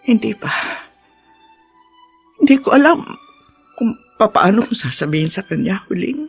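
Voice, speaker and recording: Radio drama: middle-aged Filipino mother, fragile, very expressive, dry studio with soft music. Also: medium pitch, normal speed, vocal fry, slightly rough, dry recording, full character voice. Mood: anguished. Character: gentle, anguished middle-aged mother who is gravely ill.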